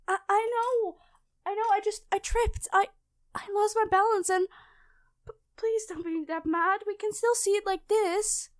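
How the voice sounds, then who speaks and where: A woman playing a clumsy, nerdy classmate who stammers and rambles apologetically in an ASMR roleplay. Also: extremely high pitch. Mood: panicked, apologetic. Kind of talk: ASMR audio roleplay addressed to the listener.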